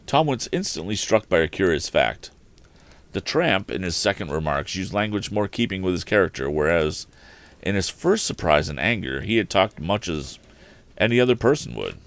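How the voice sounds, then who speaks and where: A man with a low voice reads an adventure story aloud. His narration is expressive, with animated rises and falls in pitch, and the sound is dry and close. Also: normal speed, dry recording. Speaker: host reading a story aloud. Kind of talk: expressive audiobook-style narration of an adventure novel.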